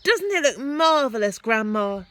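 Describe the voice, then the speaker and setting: Young storybook boy, played by a woman: high, loud and bright, eager, yelling with wonder. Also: big pitch swings, measured pace, no echo. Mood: delighted. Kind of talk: children's storybook character voice.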